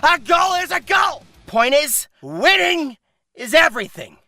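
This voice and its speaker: A man hosting scripted comedic commentary in an irreverent, theatrical, sweary way with shouted emphasis. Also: extremely high pitch, very animated, a little slow, no echo, faint music behind. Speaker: host and narrator of a comedic manga video.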